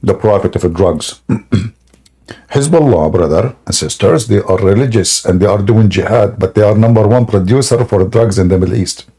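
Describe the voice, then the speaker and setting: A man hosting a live debate stream in a scoffing, jokey way, laughing often and clearing a sore throat. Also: fairly low pitch, normal speed, warm tone. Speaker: livestream debate host. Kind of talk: mocking live debate chat.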